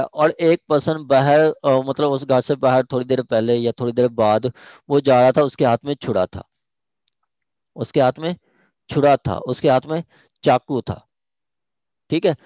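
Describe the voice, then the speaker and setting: A man's voice: a little high, a little mellow, dry recording. A man with a Pakistani accent giving an informal law lecture, explaining step by step, repeating phrases and often checking that he is understood. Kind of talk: informal lecture explaining legal articles with examples.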